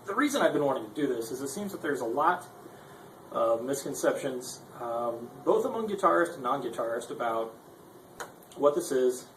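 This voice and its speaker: Man, mid-pitched voice, informal tutorial presenter, rambling, self-deprecating, conversational, roomy echo. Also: very animated, moderate pace, a bit bright. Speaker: presenter of a guitar gear tutorial. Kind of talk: informal unscripted demonstration. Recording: echoey room with faint noise.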